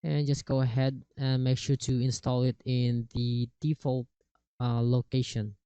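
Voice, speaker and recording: A man narrating a casual step-by-step software tutorial, with somewhat halting phrasing. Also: medium pitch, little pitch movement, clean tone, dry recording. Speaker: tutorial host. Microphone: cheap desktop microphone with software processing.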